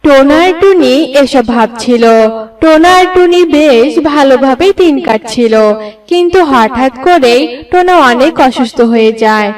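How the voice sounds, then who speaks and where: A woman's voice: high voice, lively intonation, moderate pace, recorded from a distance, low-quality recording. A woman narrator reading a scripted story for children.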